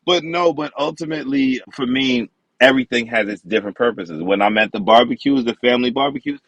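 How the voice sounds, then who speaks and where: A man's voice: mid-range voice, fairly quick, no echo, poor audio. A man from the Bronx, talking casually as a podcast guest. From the Bronx, New York. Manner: relaxed, conversational, slang-flavored. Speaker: podcast guest, wine expert. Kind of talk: casual interview talk.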